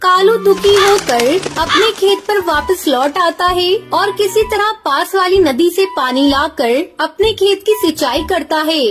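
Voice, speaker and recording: Female narrator for children's animated tales, extremely high childlike pitch, music bed. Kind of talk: scripted children's story narration.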